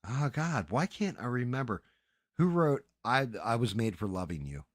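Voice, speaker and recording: A man's voice: medium pitch, big pitch swings, normal speed, no echo, studio quality. A middle-aged man chatting casually as a livestream host, crude and joking in a rambling, sweary way.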